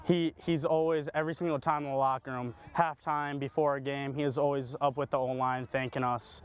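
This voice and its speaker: A teenage man speaks as a team member in a casual interview. His voice is clean and smooth, with phrasing that halts and repeats itself. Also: medium pitch, little pitch movement, fairly quick. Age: teens. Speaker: high school football teammate being interviewed.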